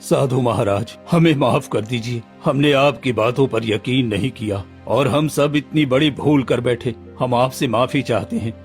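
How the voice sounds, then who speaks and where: A man's voice: medium pitch, measured pace, hardly any pauses, faint music behind, natural voice. A man playing an earnest, remorseful villager in a Hindi cartoon moral story. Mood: remorseful, pleading. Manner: pleading, earnest.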